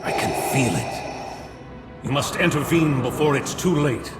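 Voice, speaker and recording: A foreboding male spectral mystic played by a man in a video game, his voice trailing long reverb over loud music. He speaks at a middle pitch with solemn, portentous weight. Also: measured pace, lightly acted. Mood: grave warning. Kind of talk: dramatic video game acting.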